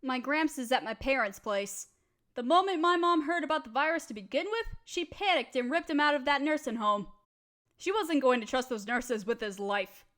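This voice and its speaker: A woman's voice: high voice, lively intonation, moderate pace, a bit bright. A woman acting a bored, chatty young roommate in a casual audio roleplay, talking in a slangy, dramatic, playfully complaining way.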